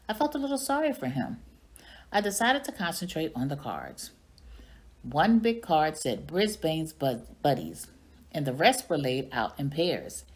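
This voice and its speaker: A woman reading a children's story aloud in an expressive storytelling manner. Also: deep voice. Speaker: reading coach or teacher reading aloud.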